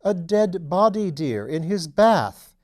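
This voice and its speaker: Older aristocratic mother for audiobook, played by a man: chatty, refined, kindly, soft mellow tone. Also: sits high, big pitch swings, takes it slowly, pitched above the performer's own voice, heavily acted. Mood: gossipy, genteel. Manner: gossipy, genteel, fussing kindly. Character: genteel dowager duchess, older mother, chatty. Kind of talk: audiobook character dialogue.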